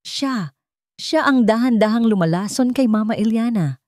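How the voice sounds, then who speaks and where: A scheming young woman in an audio drama, played by a woman narrator. Her voice is pushed high into theatrical, tearful accusation. The delivery is melodramatic and recorded very dry. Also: animated, moderate pace, darkish tone, dry recording, lightly acted. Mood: tearful accusing.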